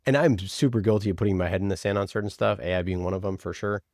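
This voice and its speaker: Very deep-voiced man in a casual interview. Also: racing, a little mellow, dry recording, studio quality. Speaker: podcast producer, interview guest. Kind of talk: casual conversational interview answers.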